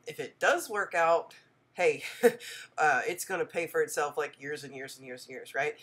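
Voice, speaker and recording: A woman's voice: low voice, a bit bright. Stuffy-nosed woman narrating her garden. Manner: chatty, friendly, many ums. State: stuffy from a head cold. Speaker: homestead vlogger. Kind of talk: casual voice-over narration.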